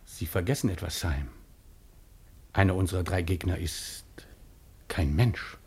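A mellow, eerie professor-detective. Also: male voice, low voice, a little slow. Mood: ominous. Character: grave male detective posing as eerie old professor. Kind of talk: radio play acting.

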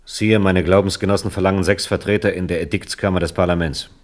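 Bitter old protestant lord in an old radio play, super deep voice, grave, actor doing him. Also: male voice, steady tone, quick pace, lightly acted. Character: embittered older Protestant nobleman, pleading. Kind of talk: 1970s radio drama acting.

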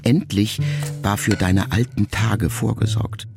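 Middle-aged guy narrating an audiobook, low gravelly voice, music behind him. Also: fairly even intonation. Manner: measured, reflective first-person reading. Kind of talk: scripted literary narration.

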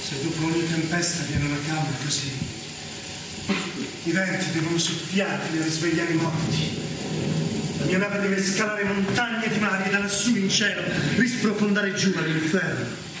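High-voiced ardent general played by an actor, echoey. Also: male voice, fairly even intonation, normal speed, lightly acted. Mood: rapturous. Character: victorious general and ardent bridegroom, plain-spoken veteran soldier. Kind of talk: theatrical stage acting. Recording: noisy, very echoey distant stage recording.

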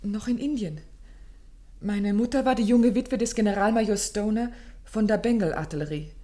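A woman's voice: mid-range voice, normal speed, mellow, close to an everyday voice. An actress playing a distressed, genteel young woman in a radio play, speaking formally and dramatically. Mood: grave recounting. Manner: formal, dramatic, pleading phrasing.

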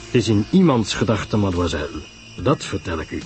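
A man playing a courteous, grave foreign detective in an audio drama, speaking in a formal, measured way. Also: on the low side, sing-song, soft background music. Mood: grave warning.

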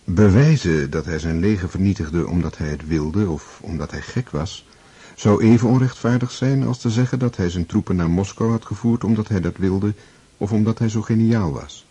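A man narrating a historical radio play in a sober, measured, literary manner with a dark, husky voice. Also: low-pitched. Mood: sober, reflective.

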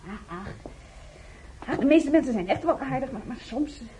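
An actress playing a young nurse in a radio drama, self-reproaching and candid, talking in a hesitant, confiding, colloquial way. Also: female voice, high-pitched, animated, normal speed, mellow, a little hiss or hum. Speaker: radio play actor. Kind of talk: naturalistic radio drama dialogue.

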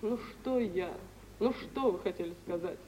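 A woman's voice: medium pitch, measured pace, warm tone, lightly acted. An actress playing a proud, wounded, forsaken young woman in an old Russian radio play, with emotional theatrical delivery. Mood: anguished. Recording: muffled, noisy 1950s radio play recording.

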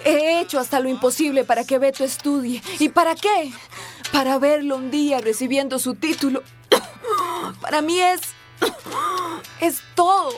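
A woman's voice: high voice, lightly acted. An actress playing a headstrong, hardworking mother in a radio soap, with an emotional, dramatic delivery. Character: headstrong, hardworking migrant mother, aggrieved and stubborn. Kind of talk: Spanish-language radio soap acting.

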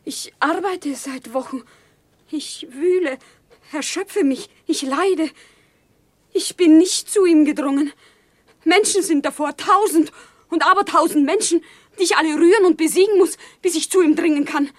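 A woman's voice: extremely high pitch, moderate pace, dry recording. An actress in a German radio drama playing a fervent, obsessive woman of the common people with a solemn, impassioned delivery. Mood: impassioned, jealous.

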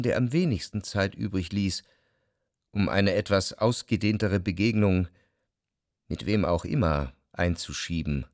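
An actor playing a melancholy, jealous lover who narrates his memories in a reflective, measured literary radio play style. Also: fairly low pitch, dry recording, studio quality. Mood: brooding.

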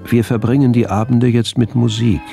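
A man's voice: steady tone, moderate pace, lightly acted. An actor playing a cold, obsessive doctor of a past era, reading his diary in a clipped, formal way in a radio play. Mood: clinical, self-assured. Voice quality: deep, creaky, dark. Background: faint music with violin.

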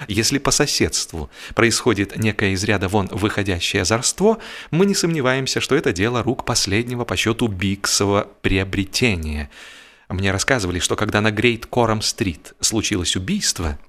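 Male narrator for comic novel, lowish voice, expressive and wry, music underneath. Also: normal speed. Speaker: audiobook narrator.

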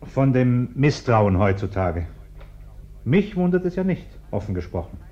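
Casting: a flustered, pompous well-to-do householder in radio drama, highly animated delivery, muffled sound. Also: male voice, measured pace. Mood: agitated. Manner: pompous, curt, nervously polite. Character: flustered, self-important bourgeois householder, uneasily polite. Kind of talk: German radio play acting. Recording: dull, echoey radio play recording.

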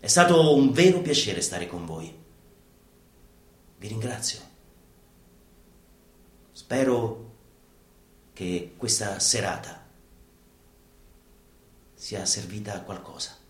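A man delivering a theatrical, mock-instructional monologue in a deadpan, didactic manner, as a live stage performer. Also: on the low side, slow, long pauses. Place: live performance space, roomy. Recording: roomy live stage recording with guitar music. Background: live guitar music.

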